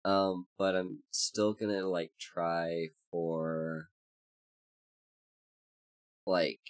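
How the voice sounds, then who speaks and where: A VTuber streamer in a casual livestream chat, congested and hoarse, rambling with many fillers while greeting chat by name. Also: deep voice, steady tone, extremely slow.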